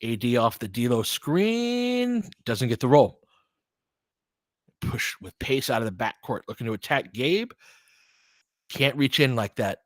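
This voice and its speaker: A man's voice: mid-range voice, sing-song, unhurried, long pauses, dry recording. Man, dry close-sounding casual basketball commentary. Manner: conversational, informal, thinking aloud. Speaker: host doing fan play-by-play. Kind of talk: casual basketball commentary mixed with chat replies.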